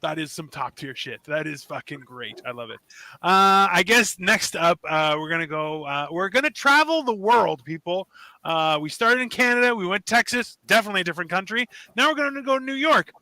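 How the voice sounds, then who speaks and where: High, lively, profane comedy host. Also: male voice, brisk, no echo, poor audio. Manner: profane, rambling, playful. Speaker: show host. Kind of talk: casual banter hosting an online comedy show. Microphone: video call.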